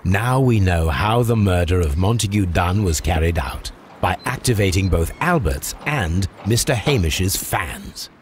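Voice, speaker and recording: An actor playing a confident, probing Victorian English gentleman detective in a video game, speaking precisely and formally. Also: deep voice, lively intonation, a little slow, slight background noise. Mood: self-assured. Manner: precise, formal, self-assured. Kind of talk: video game cutscene acting.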